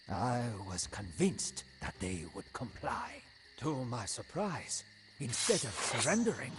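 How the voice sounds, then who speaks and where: An ambitious old court schemer narrates a game storybook in a solemn, theatrical style. His delivery is slow and deliberate with wide swings of pitch and hushed line endings, over prominent music. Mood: dramatic, dismayed. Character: ambitious, scheming old Majapahit prime minister recounting his life. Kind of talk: dramatic storybook campaign narration.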